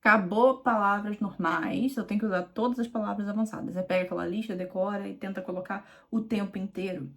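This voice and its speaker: A young woman from Rio with a Carioca accent talks straight to the viewer as an exam mentor. She speaks informally and emphatically, and she repeats her key points. Her voice sits at an ordinary middle pitch. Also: fairly even intonation, fast talker, few pauses. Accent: Carioca Brazilian Portuguese. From Rio de Janeiro, Brazil. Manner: conversational, direct, addresses viewer informally with "tu"; emphatic and repetitive. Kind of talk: direct-to-camera teaching video.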